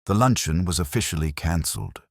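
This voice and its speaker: A man narrating a genteel comic mystery story in a measured, literary and dryly understated manner. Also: deep voice, vocal fry, no echo, studio quality.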